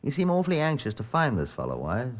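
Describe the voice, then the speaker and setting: An actor playing a dry, steady chief inspector in a 1950s radio crime drama, clipped and understated. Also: male voice, medium pitch, fast talker. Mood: terse, skeptical. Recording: muffled, hissy old-time radio recording.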